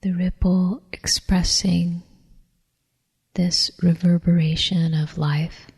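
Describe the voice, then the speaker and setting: A woman leading a guided meditation in a soft, whispery, breathy voice, calm and soothing, with long unhurried pauses. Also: lowish voice. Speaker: meditation teacher. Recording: long reverberant hall echo.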